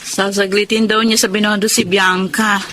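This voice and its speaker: Polite mild spouse, bright, flat intonation, radio drama, slight noise. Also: male voice, high-pitched, moderate pace. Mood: matter-of-fact.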